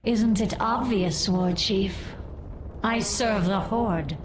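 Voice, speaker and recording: A woman's voice: mid-range voice, takes it slowly, lightly acted. A woman voicing a cool, haughty undead warrior queen in video game dialogue. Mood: cool, defiant. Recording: clearly noisy game clip with ambience.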